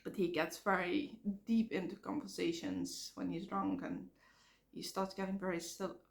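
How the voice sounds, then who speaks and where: A woman vlogging outdoors by a road, talking frankly in a halting way while she comes down with a cold and her voice cracks. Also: on the low side, little pitch movement, vocal fry. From Netherlands. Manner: frank, halting, repeats words. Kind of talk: casual rambling vlog. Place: outdoors near traffic.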